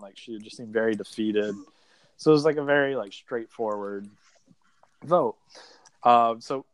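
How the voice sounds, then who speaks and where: A man's voice: medium pitch, no echo. A young adult man telling a story as a podcast guest in a casual chat, filling his talk with "like" and acting out quotes. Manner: chatty, peppered with "like", acts out quotes. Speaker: podcast guest, reality game contestant.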